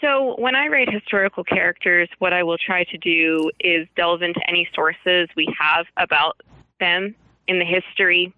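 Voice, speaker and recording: A woman's voice: fairly low pitch, moderate pace, bright, forceful tone, no echo, low-quality recording. A woman speaking earnestly and articulately as a guest author in an interview, with emphatic phrasing. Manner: earnest, articulate, emphatic, frequent light chuckles. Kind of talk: interview about writing historical fiction.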